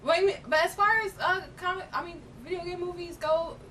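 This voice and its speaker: A woman's voice: fairly even intonation, fairly quick, fairly bright tone. A woman co-hosting a film chat, talking casually in emphatic, slangy, opinionated fan style. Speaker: co-host reviewing films. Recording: distant mic, faint noise and rumble.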